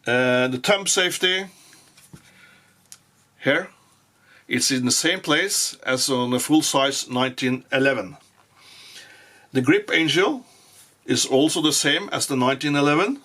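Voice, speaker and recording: A man whose first language is Norwegian, giving a casual firearm review in English with frequent pauses and restarts. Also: mid-range voice, bright, forceful tone. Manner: halting, many fillers and restarts. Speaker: gun reviewer.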